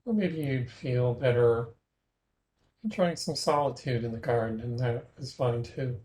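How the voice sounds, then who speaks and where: A man leading a guided imagery meditation in a gentle, measured way, leaving long pauses between phrases. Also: mid-range voice.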